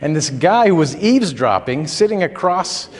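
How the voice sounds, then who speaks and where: Hoarse-throated pastor preaching in a reverberant hall. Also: male voice, a little high, sing-song, normal speed. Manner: conversational, folksy storytelling with filler words. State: recovering throat, slightly hoarse. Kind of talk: sermon.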